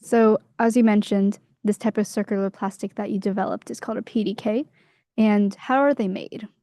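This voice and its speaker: A woman's voice: mid-range voice, steady tone, moderate pace, warm tone, no echo, clean studio sound. A young adult woman hosting an interview, asking scripted questions.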